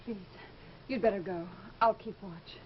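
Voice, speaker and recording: An actress playing a gentle, guarded young woman in an old-time radio drama, polite and pleading. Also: medium pitch, unhurried, crowd noise, lightly acted. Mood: guarded, soothing. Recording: muffled old radio recording, music behind.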